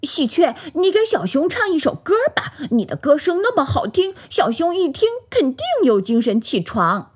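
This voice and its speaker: A woman's voice: fairly high pitch, big pitch swings, slow, hardly any pauses, husky, full character voice. A woman voicing a playful, enthusiastic little pig in a children's storybook, insistent and coaxing. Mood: excited.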